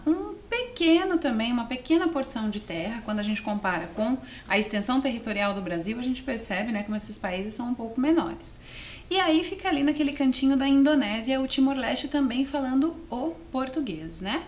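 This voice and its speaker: High-voiced Brazilian woman teaching in an echoey room. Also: expressive, fairly quick. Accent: southern Brazilian. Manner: explanatory, often asks questions and adds checking tags. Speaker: language teacher presenting a televised class. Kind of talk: didactic lesson addressed to students. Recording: roomy echo, faint background noise.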